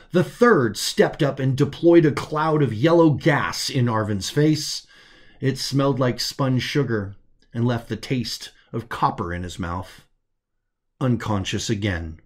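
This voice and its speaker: A man reads scripted science fiction narration as an author, in a measured way with dramatic pauses. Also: medium pitch. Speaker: author narrating own story.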